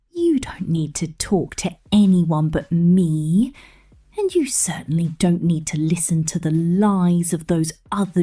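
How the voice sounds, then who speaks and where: A woman playing a possessive, condescending mechanic captor in an ASMR roleplay, speaking softly and half-whispered with playful menace. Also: fairly low pitch, slightly rough. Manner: soft, half-whispered, slow, teasing.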